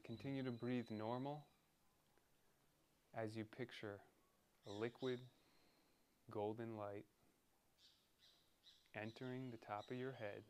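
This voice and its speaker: Guy doing a guided meditation, really slow n soothing, bit of background noise. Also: mid-range voice, a little mellow. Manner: soothing, deliberate, pausing between short phrases.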